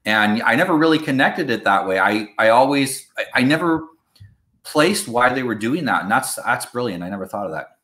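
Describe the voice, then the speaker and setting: A man's voice: medium pitch, expressive, moderate pace, sharp tone. A man hosting an interview, asking thoughtful questions with some hesitant restarts. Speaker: podcast host. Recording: roomy echo.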